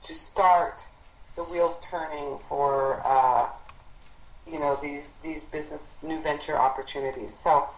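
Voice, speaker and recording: A woman leading a team conference call as an instructor, explaining business matters in a halting, encouraging way as she thinks aloud. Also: low-pitched, fairly even intonation. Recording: roomy conference call audio.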